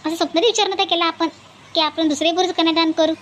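A woman's voice: extremely high pitch, fairly even intonation, rapid-fire, a bit bright, slight background noise. A woman voicing a kindly, bustling village mother in an amateur cartoon comedy, earnest and chatty, with a Varhadi Marathi accent. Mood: happy, teasing.

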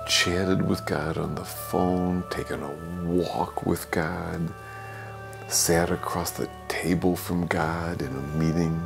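An older man, a priest, giving a homily in a slow, gentle, reflective way, sometimes dropping to a soft whisper, from a small office. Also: low voice, creaky. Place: small office with bookshelves, indoors.

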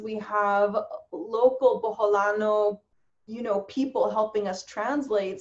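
A woman researcher explaining thoughtfully and with pauses in a webinar discussion over a video call. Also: medium pitch, lo-fi sound. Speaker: social science researcher, panelist.

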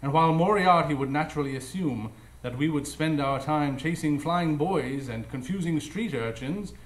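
An actor playing a brisk, analytical gentleman detective, formal and declamatory, in a live radio drama. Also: a little high, natural voice. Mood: emphatic, confident. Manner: declamatory, formal, self-assured. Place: live stage before an audience. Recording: echoey live stage recording.

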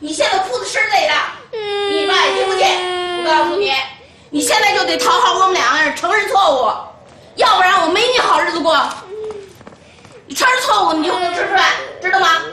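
A domineering granny. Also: female voice, very high voice, fairly quick, echoey room, heavy background noise. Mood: furious scolding. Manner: nagging, scolding, sharp tongue. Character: harsh scolding older grandmother, domineering. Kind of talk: TV drama acting.

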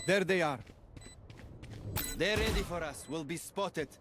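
Watchful high-voiced male scout, played by an actor. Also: a little slow, over music, lightly acted. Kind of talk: action game dialogue.

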